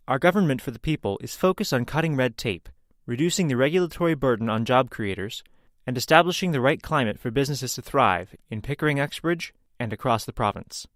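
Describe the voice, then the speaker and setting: A man's voice: medium pitch, dry recording, clean studio sound. A man reading scripted news copy. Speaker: news reader.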